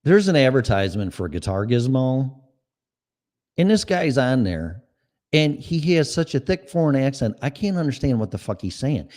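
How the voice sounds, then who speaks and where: A man's voice: mid-range voice, sing-song, normal speed, darkish tone. A man with a Chicago accent hosting a solo podcast, ranting in a blunt, sarcastic and profane way. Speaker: podcast host.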